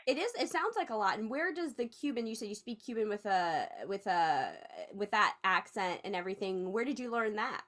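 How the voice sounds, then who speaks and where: A woman hosts a relaxed podcast conversation and keeps her guest going with steady encouragement. Her pitch rises and falls a great deal as she talks. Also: fairly quick, hardly any pauses. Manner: supportive, frequent affirmations. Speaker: podcast host. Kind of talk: casual podcast interview.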